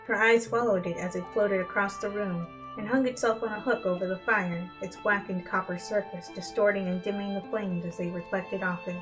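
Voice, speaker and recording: Female storybook narrator, mid-pitched, dramatic reading style, soft instrumental music underneath. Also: steady tone, fairly quick, few pauses, low-quality recording. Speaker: fan fiction reader. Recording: music bed behind the voice.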